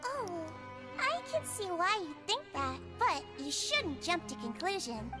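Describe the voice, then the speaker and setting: A gentle little non-human nurse. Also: female voice, very high voice, measured pace, heavily acted. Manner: soft, calm and kindly. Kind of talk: game dialogue. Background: game music.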